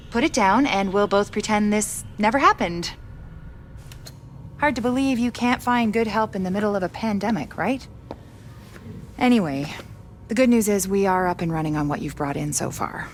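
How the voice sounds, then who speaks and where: A woman's voice: medium pitch, normal speed, long pauses, a little hiss or hum, lightly acted. An actress playing a brusque, sardonic woman doctor and driven virus researcher in a video game cutscene. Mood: irritated, sarcastic, then dry, confiding. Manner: sarcastic, blunt, impatient.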